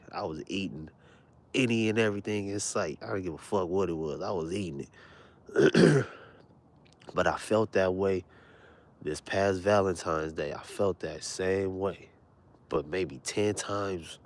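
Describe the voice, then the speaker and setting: A young man with a deep voice, telling rambling, profane stories in a laid-back, casual way, keeping his voice low so he does not wake anyone at home at night. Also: lively intonation, a little slow. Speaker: vlogger. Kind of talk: casual late-night storytelling.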